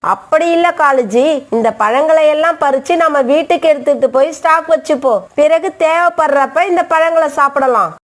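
A greedy female crow voiced by a woman, high in pitch and brazen in manner. She sounds like an insistent, scheming cartoon villain. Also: normal speed, lightly acted. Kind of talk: cartoon story dialogue.

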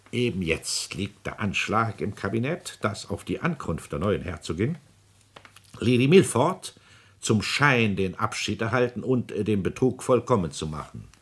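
Husky, swooping, scheming court president. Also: male voice, lowish voice, heavily acted. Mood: mocking. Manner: commanding, sardonic, theatrical. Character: scheming, domineering aristocratic court president, a father. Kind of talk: 1950s German radio play acting.

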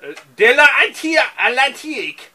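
30s male co-host, bright lively voice, jokey banter, basement bar. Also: very high voice, normal speed. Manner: jokey, mock-reading foreign text with exaggerated voices. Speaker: beer review show co-host. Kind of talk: casual chat.

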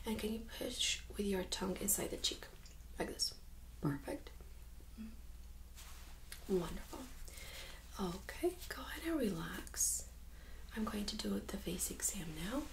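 A woman in her thirties speaking softly and often whispering, gently giving instructions as a nurse in an ASMR medical role-play. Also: mid-range voice, expressive, a little slow, pauses often, rough recording. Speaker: ASMR performer playing a nurse examiner. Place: small indoor room set up as exam room.

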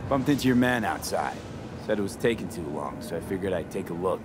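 An actor playing a cool, cheeky mercenary in a video game, casual, wry and unruffled. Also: male voice, low voice, expressive, a little fast, background noise. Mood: calm, explaining. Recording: loud game music underneath.

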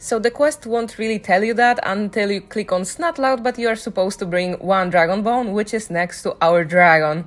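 A woman's voice: medium pitch, normal speed, hardly any pauses, faint music behind. A woman giving casual walkthrough commentary as a gaming guide, speaking in voice-over. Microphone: voice-over on a microphone, game music behind.

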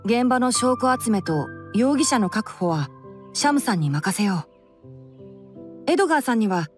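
A woman voicing a calm, clever young man of scholarly temper in a Japanese game dub, composed and reasoned. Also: a little high, moderate pace, lightly acted. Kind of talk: Japanese anime game dubbing. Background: background music.

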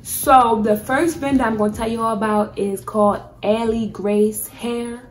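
A woman's voice: a little high, fairly even intonation, measured pace. A young woman vlogger giving a casual, chatty product review, speaking candidly with plenty of slang and swearing. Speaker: beauty vlogger.

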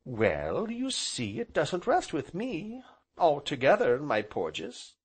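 A man voicing a placid, dreamy gentleman in a storybook, speaking with slow, grave courtesy. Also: fairly high pitch, huge pitch swings, breathy edge, voice pushed up, exaggerated voice. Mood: kindly, hesitant. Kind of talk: audiobook character voice.

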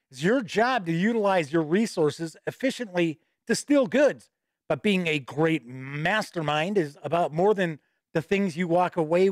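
A man's voice: high voice, no room sound, clean studio sound. Chatty middle-aged man, slightly stuffy. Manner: chatty and upbeat, reading news with asides. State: a little stuffy with a starting cold. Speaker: host and editor-in-chief of a tabletop gaming news show. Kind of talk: casual live-stream news chat. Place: home studio with shelves of games behind. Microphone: studio mic on boom arm close to mouth.

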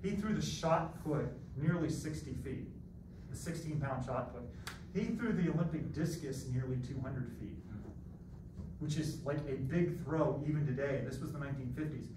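A middle-aged American man lecturing as a college math teacher in an animated, rambling, self-deprecating and theatrical way. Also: a little high, moderate pace. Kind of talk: classroom lecture with rambling asides. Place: classroom, masked, in front of students. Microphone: far camera at back of room. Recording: roomy echoey classroom, distant mic, background noise.

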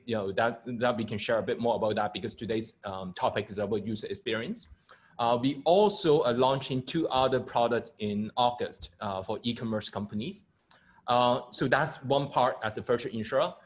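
A middle-aged man from Hong Kong, an insurance company founder, introducing his firm hesitantly with many fillers over a video call. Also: mid-range voice. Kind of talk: panel self-introduction.

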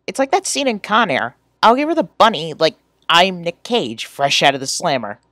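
A woman's voice: lowish voice, very animated, normal speed, a bit bright, no echo, studio quality. A woman voicing a goofy, earnest teenage boy from a webcomic, playful and exclamatory, in an amateur character reading.

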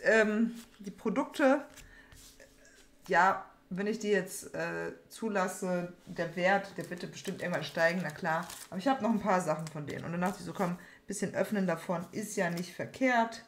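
A woman's voice: fairly low pitch, moderate pace. Young woman chatting casually, roomy echo. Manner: informal, rambling, repeats phrases. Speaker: trading card opening host. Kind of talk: casual chat while opening card packs. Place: small room at home, indoors. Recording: roomy echo, background noise, card crinkling.

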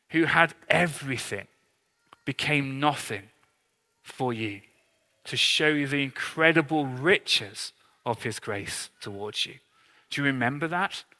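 A man preaches in a bright, forceful voice that sits a little high. His delivery is earnest but conversational, like an informal sermon. Long reverb from a big hall trails his words. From England. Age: 30s to early 40s. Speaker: pastor, church leader.

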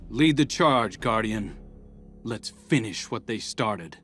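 An actor playing a steady, determined military leader in a sci-fi video game, giving an earnest, purposeful briefing. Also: male voice, lowish voice, takes it slowly, soft background music. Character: resolute, steady male military leader rallying allies.

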